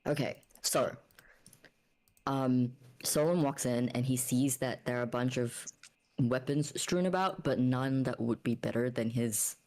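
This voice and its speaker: A woman playing a tabletop game, casually narrating her character's actions while thinking aloud in a halting way. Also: very deep voice, darkish tone.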